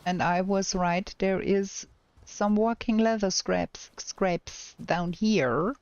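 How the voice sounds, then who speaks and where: Slow-talking woman with a German accent. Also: mid-range voice, a little mellow, clean tone, dry recording, lo-fi sound. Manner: talks to herself and chat while playing, thinking aloud. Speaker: streamer. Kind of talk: casual gameplay commentary.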